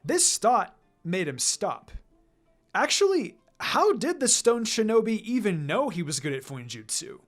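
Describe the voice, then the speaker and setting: Fan fiction narrator, mid-pitched, animated intonation, background music. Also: a little slow. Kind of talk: expressive story narration.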